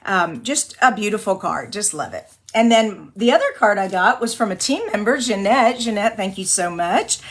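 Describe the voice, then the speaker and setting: A woman from Texas hosting a live card-making tutorial, her voice a little raspy from singing and allergies, who rambles, apologizes often and laughs easily. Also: medium pitch, animated, normal speed, few pauses. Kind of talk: live-stream craft tutorial, chatty.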